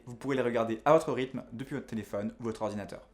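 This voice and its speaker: Guy, course teacher doing a scripted promo, talks really fast. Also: medium pitch, a little mellow. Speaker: video course instructor and founder. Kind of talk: scripted promotional narration to camera.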